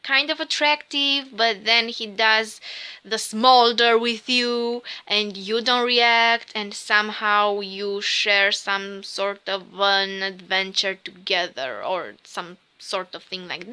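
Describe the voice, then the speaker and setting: Slow, bright-voiced Romanian woman. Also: on the high side, clear, resonant tone. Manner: slow, musing, dreamy. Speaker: tarot reader. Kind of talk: rune reading.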